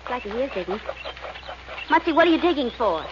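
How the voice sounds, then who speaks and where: Spirited, quick-talking radio sidekick woman. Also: a little high, very animated. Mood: curious. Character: spirited, inquisitive young woman, the detective's companion. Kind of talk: 1940s radio acting. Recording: muffled, very noisy old radio recording.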